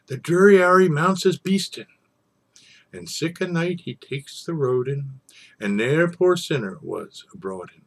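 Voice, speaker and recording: A man speaks as a storyteller at a very unhurried pace. His voice is husky and rough-edged. He is theatrical, lingering over phrases and leaving pauses between them. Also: mid-range voice. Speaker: storyteller and poetry reader. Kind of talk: informal introduction, then dramatic poetry recitation.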